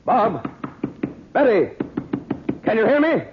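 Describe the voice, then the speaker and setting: An actor in an old radio drama playing an earnest, steady man who deliberates seriously. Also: high-pitched, background noise, heavily acted. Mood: concerned. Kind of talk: 1940s radio drama acting. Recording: muffled, phone-like old radio broadcast recording.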